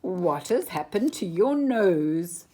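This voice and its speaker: A woman's voice: lowish voice, big pitch swings, takes it slowly, dark tone, slight background noise. A woman voicing a kind, worried old father in a children's storybook, tender and anxious. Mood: surprised.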